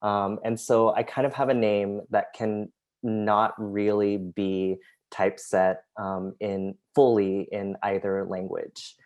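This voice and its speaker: Man, artist presenting over a video call, low and unhurried, hesitant with lots of fillers, first language Vietnamese. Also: little pitch movement. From United States. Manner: hesitant, many ums, soft-spoken. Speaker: artist and graphic designer. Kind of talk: presenting artwork in an online talk.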